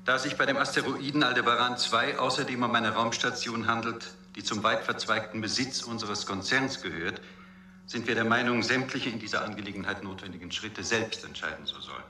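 A male corporate official in a science-fiction radio play. His voice is low and very sharp. He phrases everything with cool, officious precision, in a roomy studio sound. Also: a little fast. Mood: coolly officious. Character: corporate official dictating a coolly formal refusal telex. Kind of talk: formal read-out in a radio drama.

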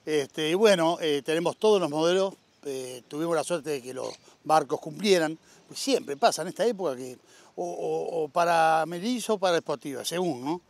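Upbeat Uruguayan farm machinery dealer. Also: male voice, a little high, normal speed. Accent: Uruguayan Spanish. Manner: upbeat salesman, filler-heavy with frequent "este". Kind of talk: casual outdoor interview. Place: outdoor agricultural fair among tractors.

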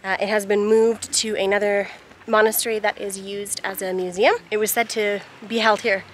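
A woman's voice: medium pitch, flat delivery, moderate pace, a little hiss or hum. A woman in her thirties chatting casually as a travel vlogger, talking to a camera at arm's length. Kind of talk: casual vlog chat.

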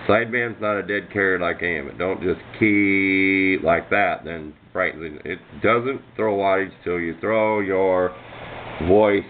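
A man's voice: low-pitched, measured pace. A man giving a casual, hands-on explanation as a hobbyist, rambling along in folksy, informal speech on noisy, muffled amateur camera audio. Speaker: hobbyist presenter of radio demonstration.

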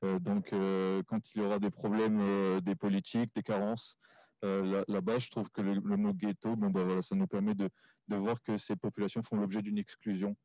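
A man giving an impromptu comment from a debate audience, haltingly searching for his words. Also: fairly low pitch, level tone, mellow, no echo. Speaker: audience participant. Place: small crowded café room. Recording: dull, lo-fi event recording.

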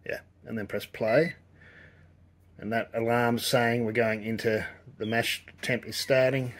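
Relaxed middle-aged man explaining as he works. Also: mid-range voice, unhurried. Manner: relaxed, explanatory, thinking aloud while working. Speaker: home brewing presenter. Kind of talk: casual hands-on demonstration. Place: indoor home brewery.

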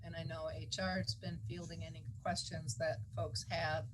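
A woman with a somewhat low voice explains policy as a county official at a board meeting. Her delivery is measured and halting, and the meeting-room recording carries clear background noise. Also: level tone.

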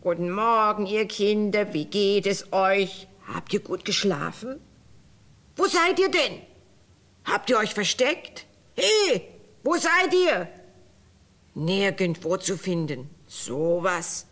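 An actress playing a sinister, witchlike old cook in a fairy-tale radio play, speaking deliberately and conspiratorially. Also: mid-range voice, very animated, fairly bright tone. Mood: falsely sweet, calling.

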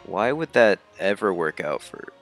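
Slow-talking low-voiced man playing a game. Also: very animated, a bit bright. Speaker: let's-player. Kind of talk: casual gameplay commentary. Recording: game music behind. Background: video game music.

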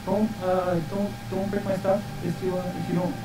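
An actor playing a nervous, stammering young radio host in a game. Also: male voice, high voice, level tone, moderate pace, very noisy. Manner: stammering, hesitant. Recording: distant game audio over music.

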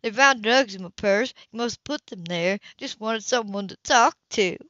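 A woman's voice: mid-range voice, normal speed, fairly bright tone, no room sound, lo-fi sound, lightly acted. Woman acting a pleading, manipulative woman under arrest. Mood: tearful, self-pitying. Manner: pleading, self-pitying, turning to angry accusation. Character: tearful, manipulative, hard-bitten older sister under arrest.

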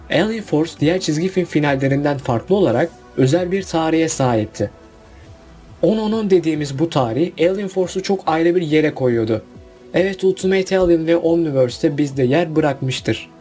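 A man's voice: fairly high pitch, mellow. A man narrating a casual countdown video about cartoon finales in a chatty voice-over.

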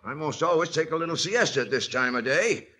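An actor playing a sleepy old sheriff in a 1950s radio drama, husky and mumbling. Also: male voice, on the high side, lively intonation, fairly quick, bright, forceful tone, full character voice. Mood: drowsy. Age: older. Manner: drowsy, mumbling. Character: Sheriff Buck Mason, sleepy old lawman.

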